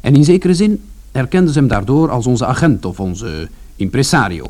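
A man's voice: medium pitch, expressive, moderate pace, darkish tone, slight background noise, close to an everyday voice. An actor playing a wry, sardonic first-person narrator in a radio play, telling his family story in blunt colloquial words. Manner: sardonic, colloquial, mildly swearing.

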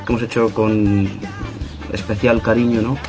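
A man's voice: lowish voice, level tone, moderate pace, music in the background. A musician with an accent from Spain answering interview questions, often tagging his phrases with a little question. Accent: Spanish, from Spain. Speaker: band musician being interviewed.

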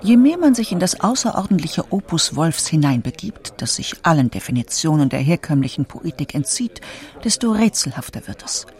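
A man reads out literary criticism as a quote reader. His voice is somewhat high for a man and the delivery is scripted. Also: very animated, moderate pace.

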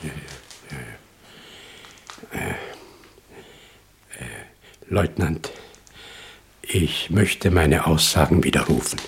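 A man acting a frightened, weary shopkeeper in his sixties in a German crime radio play, halting and apologetic. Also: rumbling low, expressive, gravelly, husky, full character voice. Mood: evasive, anxious. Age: 60s. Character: frightened, weary 62-year-old immigrant shopkeeper and witness.

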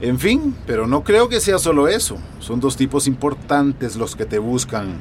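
A man's voice: mid-range voice, lively intonation, moderate pace, slight background noise, lightly acted. An actor with a Latin American accent playing a fatherly, middle-aged police chief in a radio drama, reassuring and authoritative. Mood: firm, reassuring. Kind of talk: Spanish-language radio drama acting.

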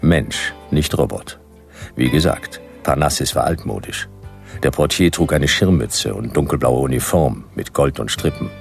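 World-weary gumshoe, bottomless bass, gravelly fry, laconic sardonic narration, grumpy, radio play. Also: male voice, unhurried, faint music behind, heavily acted. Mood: deadpan. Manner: deadpan, laconic, clipped sardonic phrases. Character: grumpy, world-weary male private detective.

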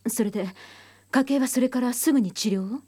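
An actress playing a composed, analytical psychology expert in a radio drama, thoughtful and probing in manner. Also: female voice, fairly high pitch, fairly quick, mellow, natural voice. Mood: probing, grave.

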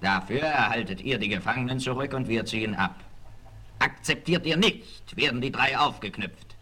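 Sneering, sharp-voiced bandit leader. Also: male voice, medium pitch, moderate pace. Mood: cold, threatening. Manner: mocking, mock-polite, menacing. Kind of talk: 1960s German radio-play acting.

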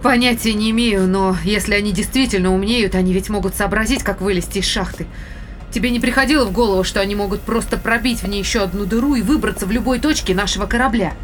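A woman's voice: mid-range voice, quick pace, a little hiss or hum, lightly acted. An actress in an audio drama playing a composed female spaceship scientist who explains things articulately. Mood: uneasy.

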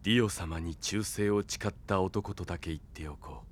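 An actor playing a smug, sinister young henchman in an audio drama, taunting with deliberate theatrical delivery. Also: low-pitched. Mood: smug, threatening. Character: smug, sinister young male villain, coldly loyal henchman.

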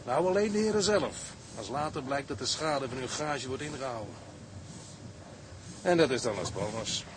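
A man's voice: mid-range voice, expressive, moderate pace, natural voice. Casting: a man for a wry old-hand mariner in radio drama, mid-range voice, plain-spoken, engine noise behind. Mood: wry, resigned. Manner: matter-of-fact, wry storytelling.

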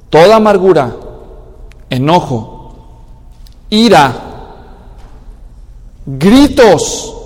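A man's voice: sits high, a little mellow. A man preaching a sermon in an emphatic manner, now and then mimicking people's excuses. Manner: emphatic, acts out excuses in a mocking tone. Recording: long hall reverb.

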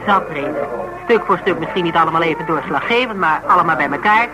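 A woman's voice: deep voice, expressive, quick pace, poor audio. Dutch woman in a radio play, stubborn, emphatic, kinda teasing, talks colloquial and argues point by point. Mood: insistent. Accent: Netherlands Dutch. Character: outspoken woman reasoning stubbornly with a fanatic cop. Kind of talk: naturalistic radio drama dialogue.

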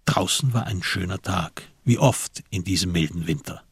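A man narrating a radio drama from a script, in a measured literary storytelling manner. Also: low-pitched, husky, no room sound.

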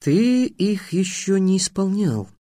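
A man voicing a stubborn young boy wizard who talks in a low, grumbling, insistent way. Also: big pitch swings, normal speed, a little mellow, no echo, studio quality.

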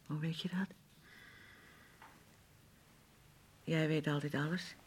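A woman's voice: low voice, fairly even intonation, fairly quick, a little hiss or hum, natural voice. An actress playing a fragile, naive grown woman in a radio drama, speaking breathily and often near a whisper in simple, hesitant phrases. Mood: eager, childlike. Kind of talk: naturalistic Dutch radio drama acting.

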